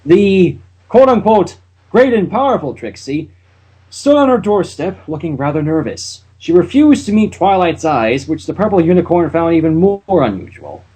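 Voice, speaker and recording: Male host reading aloud, husky, lively pitch, wry asides between passages. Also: normal speed, rough recording. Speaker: channel host and reader. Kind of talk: casual fan fiction reading.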